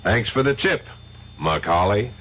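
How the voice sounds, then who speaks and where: A man's voice: lowish voice, expressive, unhurried. An actor playing a dry, wry male investigator in a radio drama. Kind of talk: 1970s radio drama acting. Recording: lo-fi old radio recording with faint hiss.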